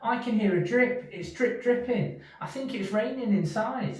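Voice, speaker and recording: Man putting on a high, anxious little mouse. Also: moderate pace, lightly acted. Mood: frightened, urgent. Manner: urgent, plaintive calling. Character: small, anxious, pleading young male mouse. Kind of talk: children's storybook character voice. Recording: echoey room, distant from mic.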